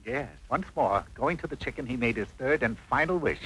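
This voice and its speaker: A man's voice: medium pitch, animated, fairly quick, background noise, natural voice. A man playing an arch, theatrical storybook narrator, reading a comic fairy tale on an old cartoon soundtrack. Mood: mock-dramatic. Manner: arch, dramatic.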